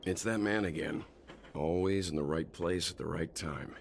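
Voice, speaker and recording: A man playing a composed, analytical, mature traveller in a video game, speaking in a measured, deliberate, scholarly way. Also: low voice, slight background noise. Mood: wry, decisive. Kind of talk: scripted video game voice acting.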